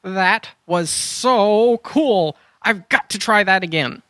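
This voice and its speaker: A woman's voice: medium pitch, takes it slowly, a bit bright, no echo, clean studio sound. A woman playing a cheerfully overconfident boy adventurer in a children's audio drama, breezy and self-assured. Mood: breezy, smug.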